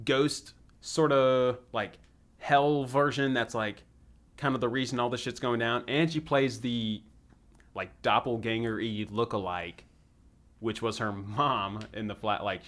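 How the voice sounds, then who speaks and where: A man's voice: medium pitch, fairly even intonation, unhurried, a bit bright. A man hosting a casual movie review chat, talking in a rambling way and swearing freely. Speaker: podcast host.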